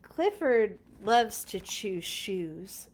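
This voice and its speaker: Slow, lively woman reading to children. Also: mid-range voice. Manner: expressive, playful, chatty. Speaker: storyteller reading a picture book aloud. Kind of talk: children's read-along with casual asides.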